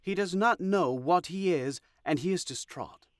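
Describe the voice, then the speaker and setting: A man playing a sly god of deception in an audio drama, speaking in a measured, deliberate way with pauses. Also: sits high, no room sound, studio quality, natural voice. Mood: sly, persuasive.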